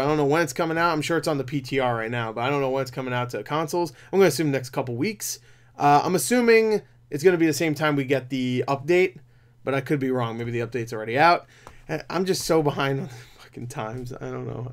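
A man's voice: on the high side, clear, resonant tone. A man in his twenties giving casual, rambling, sweary reaction commentary as a video host. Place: small room at home.

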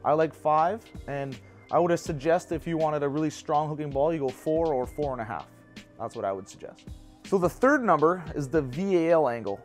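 Shop instructor, man in his 30s, lapel mic, easygoing practical delivery, light pitch. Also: moderate pace, faint music behind. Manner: relaxed, practical, personal asides. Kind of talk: casual how-to explanation. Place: bowling pro shop indoors.

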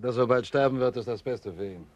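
An actor playing a curt, fearful expedition member in a radio play. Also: male voice, mid-range voice, fairly quick, a little mellow, dull audio, close to an everyday voice. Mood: curt, uneasy. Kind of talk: radio drama acting.

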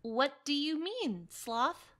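A woman's voice: high voice, big pitch swings, slow pace, a bit bright, exaggerated voice. A woman playing an eager, cheeky young female from heaven in an audio drama, perky and coaxing. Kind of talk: audio roleplay drama acting.

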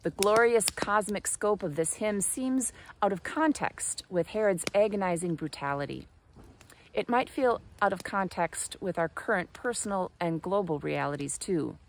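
A woman in her forties, a pastor, giving a measured, earnest devotional reflection outdoors by a snowy fire pit, filmed on a phone at arm's length. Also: mid-range voice, a little hiss or hum. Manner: measured, earnest, lyrical delivery. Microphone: phone camera at arm's length.

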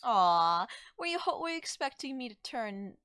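A woman's voice: fairly high pitch, sing-song, pushed and bright, smooth, no echo, studio quality. Playful, lilting witch girl, acted by a woman. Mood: breezy, confiding. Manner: coy, soothing, conversational with drawn-out words. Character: playful, teasing young female forest witch, gently reassuring. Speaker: roleplay voice actor. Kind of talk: ASMR audio roleplay, addressed to the listener.

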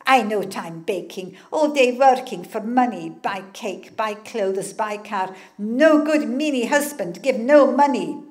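A woman voicing a combative older woman who speaks loud, broken English. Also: on the high side, animated, measured pace, hardly any pauses. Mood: indignant.

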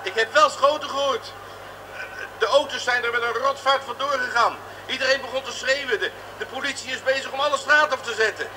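A flustered male chauffeur, with a strikingly high voice. He is bewildered and defensive in radio-play fashion. Clear noise runs through the recording. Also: a little fast. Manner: agitated, bewildered. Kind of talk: radio drama acting. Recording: noisy old radio drama recording.

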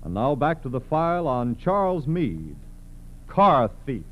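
A man's voice: medium pitch, sing-song, measured pace. An American man announcing formally and emphatically in the style of a 1940s radio sponsor message. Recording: dull, noisy, humming vintage radio.

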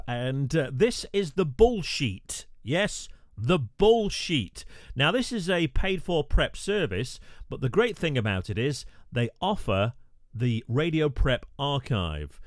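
A man's voice: fairly high pitch, dry recording, clean studio sound. A male radio presenter talking through a screen-recorded tutorial, chatty with wry asides and frequent "uh" fillers.

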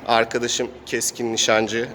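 A man's voice: mid-range voice, completely flat delivery, a little slow, a bit bright. A man narrates an improvised role-playing game outdoors as host and game master, telling it casually with spoken sound effects. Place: outdoors in an urban area. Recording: outdoor urban noise, passing vehicles.

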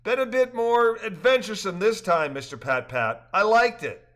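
A weary, easygoing older man, as a male storyteller plays him. He speaks in a raised, high voice with a relaxed, joking manner. It comes from a story reading. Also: moderate pace. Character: tired older man, gentle humor.

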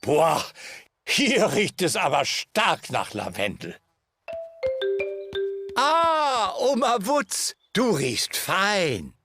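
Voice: mid-range voice, huge pitch swings, very slow, long pauses, sharp tone, heavily acted. A performer voicing a jovial, indulgent old grandfather pig in a cartoon, hearty and theatrical, with faint music behind.

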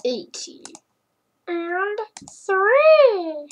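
A child narrates a homemade number cartoon with many hums and murmurs. The voice is high and very lively, and the pace is unhurried. Clicks and typing sound faintly in the background. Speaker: fan animator narrating. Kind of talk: playful narration of a homemade number-block animation.

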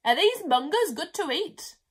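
Storybook pelican, played by a woman: high, loud, swooping, hungry and boastful, exclaiming with drawn-out relish. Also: big pitch swings. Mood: curious, puzzled. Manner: loud, exclaiming, drawn-out sing-song delivery. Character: big hungry sing-song pelican, curious and boastful.

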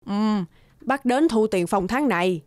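A woman's voice: medium pitch, lively intonation, a little slow, darkish tone, close to an everyday voice. A woman voicing a grumbling, impatient landlady in a cartoon, scolding bluntly. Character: grumbling, impatient landlady collecting overdue rent. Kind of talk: cartoon voice acting.

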